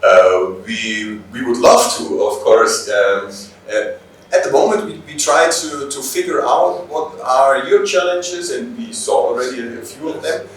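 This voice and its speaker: A man from Bavaria with a German accent, a native German speaker, answering interview questions as a police trainer, haltingly searching for words in his second language. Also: mid-range voice, lively intonation, a little hiss or hum. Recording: very echoey room, distant microphone.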